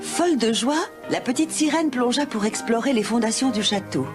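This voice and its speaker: A woman narrating a children's animated fairy tale as a scripted storyteller. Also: fairly high pitch, moderate pace, music in the background.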